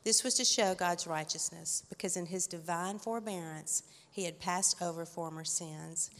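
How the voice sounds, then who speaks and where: A woman giving a humble church testimony and reading scripture aloud, quiet with fillers. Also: fairly low pitch, normal speed. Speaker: church member sharing a reflection. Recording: echoey hall.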